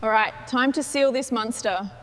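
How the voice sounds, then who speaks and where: A woman's voice: a little high, moderate pace, loud music behind the voice. A woman giving short work remarks as part of a truck restoration team.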